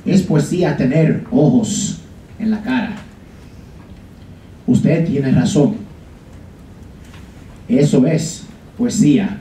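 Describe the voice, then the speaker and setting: A young man reading a poem aloud in a slow, measured recital at a college podium. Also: medium pitch, warm tone, a little hiss or hum. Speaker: student reader. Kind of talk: dramatic poetry reading in Spanish. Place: college lecture room. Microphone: podium mic from a far camera. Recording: echoey room, mic far off.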